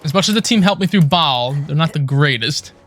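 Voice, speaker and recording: A man's voice: fairly high pitch, lively intonation, brisk, fairly bright tone. A man casually commentating as he streams a video game live. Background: video game music.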